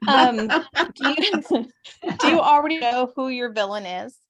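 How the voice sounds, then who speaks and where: A woman's voice: high voice, lively intonation, measured pace, a crowd in the background. A woman interviewer and fellow writer asking questions in a friendly, casual way, hesitating and laughing.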